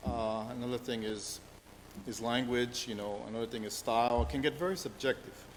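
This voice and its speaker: A man's voice: mid-range voice, steady tone, a little fast. Middle-aged new york guy talking about his music, lots of you knows, echoey sound with a little hiss. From New York City. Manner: earnest, many restarts and "you know"s. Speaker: musician interviewed as guest. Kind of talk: casual interview answers. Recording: long reverb, faint background hiss.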